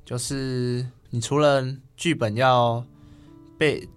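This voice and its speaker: Halting teenage boy, Taiwanese Mandarin accent. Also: mid-range voice, fairly even intonation. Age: teens. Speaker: student interview guest. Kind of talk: casual interview answers. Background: soft guitar music.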